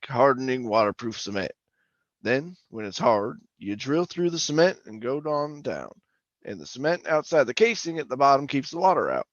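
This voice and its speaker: An actor playing a garrulous middle-aged former oil-rig roughneck, telling his tale in a rambling, folksy radio drama manner. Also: medium pitch, dry recording, highs cut off, lightly acted. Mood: explanatory. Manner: rambling, folksy, colloquial storytelling. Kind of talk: old-time radio drama monologue and dialogue.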